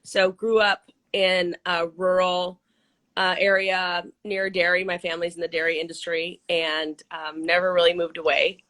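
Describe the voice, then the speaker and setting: A middle-aged woman from Central California, a little hoarse, talking casually and upbeat with lots of ums and restarts in an interview. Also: on the low side, little pitch movement, moderate pace, a bit bright. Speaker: interview guest, nonprofit CEO. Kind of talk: casual video-call interview.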